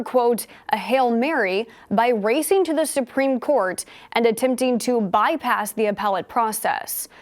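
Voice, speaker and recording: An American woman with a fairly high voice presents scripted news as an anchor. Her delivery is measured and formal, in the manner of a newsreader working through prepared copy. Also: a little mellow.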